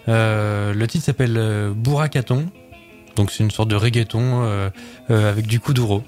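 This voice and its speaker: A man's voice: lowish voice, steady tone, a little mellow, soft background music. Chatty male radio co-host. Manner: quick, chatty, some fillers. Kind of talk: casual radio chat, reading a film synopsis.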